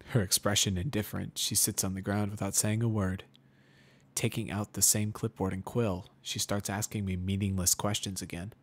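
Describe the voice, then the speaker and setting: A man's voice: lowish voice, slightly rough. A man narrating a first-person story as a dramatic reading. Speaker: narrator, reader of fan fiction.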